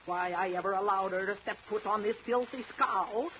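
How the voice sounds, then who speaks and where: A woman's voice: medium pitch, moderate pace, slight background noise, lightly acted. An actress playing a sour, domineering middle-aged mother, scolding and complaining in 1930s radio style. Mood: indignant scolding. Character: sour, domineering mother, a nagging riverboat captain's wife. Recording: dull old 1930s radio recording.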